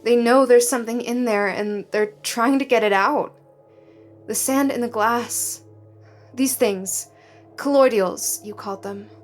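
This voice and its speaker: Horrified, defiant woman recruit, higher-pitched actress. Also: faint music behind, close to an everyday voice. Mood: anxious, awed. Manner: halting, emotional, indignant. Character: horrified, defiant young woman, idealistic new department recruit. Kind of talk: audio drama acting.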